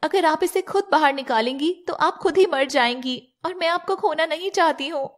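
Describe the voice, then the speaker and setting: A woman voicing an anxious, loyal younger serpent sister, earnest and pleading, in an animated fairy tale. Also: high voice, normal speed, very noisy, pitched above the performer's own voice, close to an everyday voice. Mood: worried.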